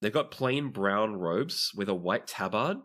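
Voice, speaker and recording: Low-voiced man narrating as game master. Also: lively intonation, measured pace, a bit bright. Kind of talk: narrating a tabletop roleplaying game.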